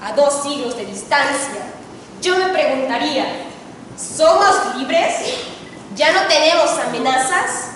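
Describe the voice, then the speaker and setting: A young woman student with a Mexican accent giving a formal, solemn oratory speech with emphatic delivery and dramatic pauses. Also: high voice, expressive, fairly bright tone. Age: teens to young adult. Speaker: student contestant in an oratory contest. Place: large reverberant indoor hall. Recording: echoey hall, distant mic, faint noise.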